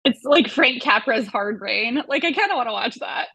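Wry teasing lady on a movie pod, bright slightly high voice, no room sound. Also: lively intonation, normal speed, creaky, husky, no room sound. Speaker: film podcast speaker. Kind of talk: casual banter.

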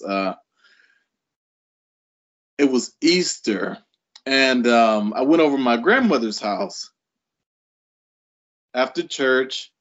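A man's voice: mid-range voice, a bit bright. Late 30s guy on a podcast, really up and down voice, casual chat, slightly muffled audio. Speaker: podcast guest. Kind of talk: casual chat, personal storytelling.

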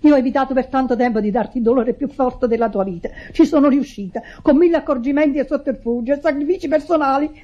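An actress playing a distressed, reproachful sister in an Italian radio drama, pleading emphatically and dramatically. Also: female voice, sits high, fast talker, few pauses, mellow. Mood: wounded. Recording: dull lo-fi old radio recording.

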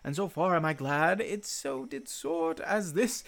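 A woman's voice: deep voice, a little slow. A woman acting a mischievous fairy servant, playful and unrepentant, in a Shakespeare radio play. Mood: playfully defensive.

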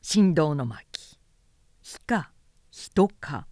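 A woman reads literary narration with a dry, close sound. Her delivery is slow and measured, with lively, expressive intonation suited to dramatic storytelling. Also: deep voice, no room sound.

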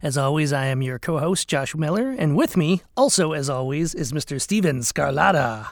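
Man podcast co-host, slightly high voice, chatty, rambling, dry close mic. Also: expressive, moderate pace, no room sound. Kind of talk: casual podcast chat.

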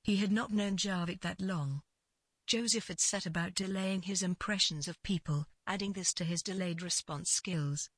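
A woman reads literary fiction as its narrator. Her voice is a little low and husky, with a dry, close sound. The delivery is measured and scripted. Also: fairly bright tone, no echo.

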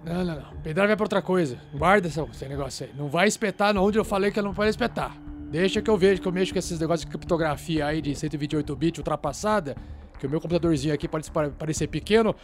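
Higher-voiced, chatty Brazilian man. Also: quick pace. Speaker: tabletop game player. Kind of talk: casual in-character banter. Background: music.

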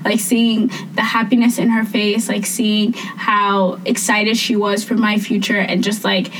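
A woman's voice: mid-range voice, little pitch movement, unhurried, a bit bright, far from the mic, low-quality recording. A woman co-hosting a casual chat, speaking in a reflective, heartfelt way. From Somali. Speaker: podcast co-host.

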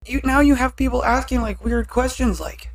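A woman with a deeper voice chatting casually as a podcast co-host, blunt and often laughing at herself. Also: steady tone, normal speed, background noise. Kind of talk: casual chat.

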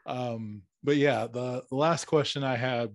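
A man's voice: medium pitch, steady tone, measured pace, no room sound, studio quality. A man chatting casually and enthusiastically as a podcast host. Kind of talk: casual chat.

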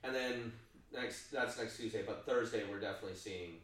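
A man co-hosting a casual podcast, excitable and jokey, his voice leaping up when he laughs. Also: on the low side, a little fast, reverberant, a little hiss or hum. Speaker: podcast co-host. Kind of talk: casual chat. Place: home podcast setup in Arizona.

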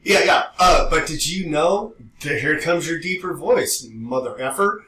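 A man's voice: a little high, expressive, measured pace, sharp tone. A man co-hosting a podcast in casual, slangy talk. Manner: informal, slangy, mildly profane. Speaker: podcast co-host. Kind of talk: casual chat. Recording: echoey room, distant from mic.

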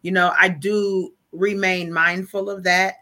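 A woman coach in a casual podcast chat, talking loudly and bluntly in an unapologetic way. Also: fairly low pitch, flat delivery, a little slow.

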